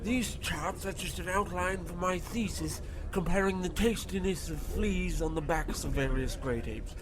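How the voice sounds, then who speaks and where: A man voicing a high-pitched, genteel ape professor. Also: moderate pace, crowd noise, lightly acted. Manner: pompous, old-fashioned politeness. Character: genteel, scholarly short male ape professor.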